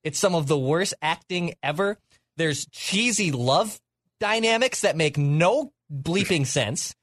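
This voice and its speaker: A man's voice: fairly high pitch, animated, unhurried, a bit bright, no room sound. A young man co-hosting a podcast in a casual chat, talking emphatically and exaggerating for effect. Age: young adult. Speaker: podcast co-host.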